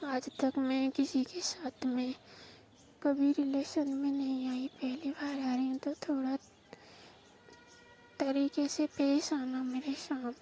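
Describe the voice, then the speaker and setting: A woman's voice: high voice, level tone, slow pace, warm tone. A woman playing a distressed, complaining young newlywed bride confiding over the phone in a staged call drama, on a noisy recording. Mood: plaintive.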